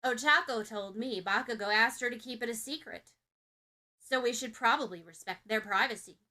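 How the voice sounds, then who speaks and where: A woman playing an earnest, well-meaning teenage girl who speaks sincerely and helpfully. The voice is bright and fairly high. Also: moderate pace.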